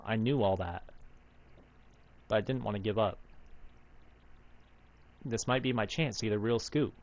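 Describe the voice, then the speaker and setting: Low-voiced man reading a visual novel. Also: measured pace. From United States, Alaska. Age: 30s. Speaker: streamer reading a game's text aloud. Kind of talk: narrating and voicing visual novel dialogue. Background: faint game music.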